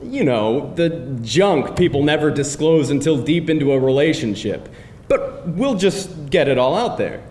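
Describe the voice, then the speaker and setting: A man playing a pushy, glib boyfriend of about thirty at a live screenplay table read, with an animated, wheedling delivery. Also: a little slow. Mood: persuasive. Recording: roomy live hall, faint rumbling noise.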